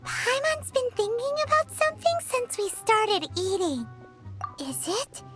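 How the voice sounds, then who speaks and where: A woman voicing a tiny, greedy, excitable fairy companion who talks about herself in the third person, in a game. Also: extremely high pitch, unhurried, faint music behind, heavily acted.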